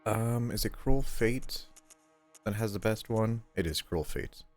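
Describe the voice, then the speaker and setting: A stuffed-up man short of breath, rambling casually as he streams a game and clears his throat often. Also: lowish voice, unhurried, darkish tone, soft background music. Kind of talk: casual gameplay chat.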